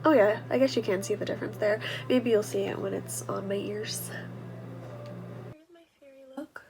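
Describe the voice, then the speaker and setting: A woman vlogger chatting casually behind the scenes, soft-spoken and often breathy, nearly whispering. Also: medium pitch, normal speed, background noise. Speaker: ASMR video creator and vlogger.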